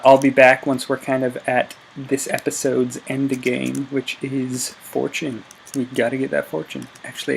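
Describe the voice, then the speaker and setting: A man's voice: medium pitch, creaky, slight background noise, low-quality recording. A young man with a stuffy, congested voice, giving casual upbeat gaming commentary full of ums and self-corrections. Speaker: gaming YouTuber. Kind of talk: casual Let's Play commentary.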